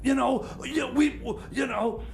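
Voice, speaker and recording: A man playing an excitable, scheming time machine mechanic in a radio comedy, stammering and repeating his words in a flustered way. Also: very high voice, takes it slowly, vocal fry, slight background noise, exaggerated voice. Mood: excited scheming. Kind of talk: improvised radio comedy sketch.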